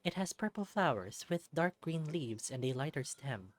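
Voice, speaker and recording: A narrator voicing a gentle, caring mother fox healer in a visual novel, soft, tender and serious. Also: mid-range voice, very animated, normal speed, no room sound, lightly acted.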